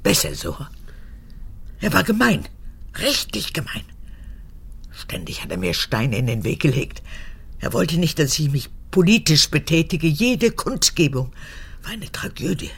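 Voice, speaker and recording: Vain elderly mother, imperious and petulant, very husky, swinging wildly in pitch. Radio drama. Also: very animated, full character voice. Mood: bitter, proud. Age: 80s. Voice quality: husky, cracked old voice, often falling to breathy whisper. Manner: theatrical, erratic, halting then snapping. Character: vain old mother, unrepentant former SS officer, capricious. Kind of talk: German radio drama acting.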